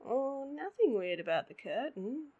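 A woman's voice: high voice, very animated, unhurried, dark tone, husky, lo-fi sound, full character voice. A woman with an Australian accent playing a sleepy, needy, playful girlfriend in an intimate roleplay audio, in a drowsy, whiny drawl. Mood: teasing, playful.

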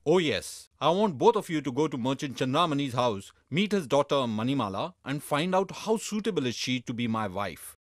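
A man's voice: mid-range voice, very animated, moderate pace, few pauses, dry recording, clean studio sound, natural voice. An actor playing an authoritative bachelor king in a children's cartoon, commanding and regal.

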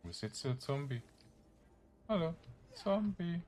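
A man's voice: a little high, sing-song, measured pace, a little mellow. A man giving casual let's-play commentary, grumbling in exasperation and muttering to himself as he plays. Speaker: video game streamer.

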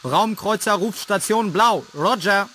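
Space radio operator, slow formal calls, high sharp voice, faint noise. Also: male voice. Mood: urgent, formal. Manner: drawn-out, formal calling.

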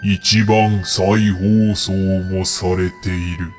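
A man's voice: low voice, level tone, faint music behind. A man playing a solemn, world-weary wish-granting dragon in a comedic voice drama, with pompous, formal speech. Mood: solemn, stately. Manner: slow, pompous, formal pronouncements.

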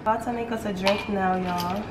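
A woman's voice: mid-range voice, fairly even intonation, normal speed. A woman chatting casually to viewers as she gives a tour of a hotel suite and its balcony. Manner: chatty, addresses viewers as y'all. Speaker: travel vlogger co-host. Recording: noisy room ambience.

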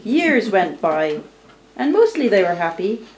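A woman tells a storybook tale at an unhurried pace. Her delivery is deliberate and theatrical, at a middling pitch. The recording is roomy and a little distant. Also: sing-song, a little mellow, slight background noise. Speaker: storyteller narrating a puppet show. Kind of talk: live storybook narration.

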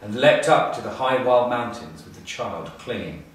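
A middle-aged man narrating a children's storybook in a measured, expressive way with pauses. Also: mid-range voice. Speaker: storybook reader, school staff. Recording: echoey room, distant mic.

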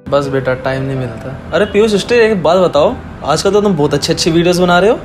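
A man acting as an easygoing young uncle, busy with college, in a homemade family skit. He talks quickly, with music clearly audible behind him. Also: on the high side, lightly acted. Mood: friendly, weary. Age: young adult. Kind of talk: amateur family moral skit. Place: indoors on a living room sofa. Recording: music laid over dialogue.

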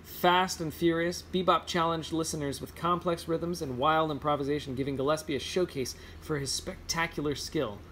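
A man's voice: on the high side, a bit bright, a little hiss or hum. A man reading a children's picture book aloud informally, now and then stumbling and correcting himself. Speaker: read-aloud host.

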